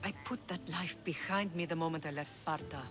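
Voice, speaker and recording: A woman playing a dignified older Greek mother who rules an island, grave and measured, in a video game drama. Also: low-pitched, over music, natural voice. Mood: commanding.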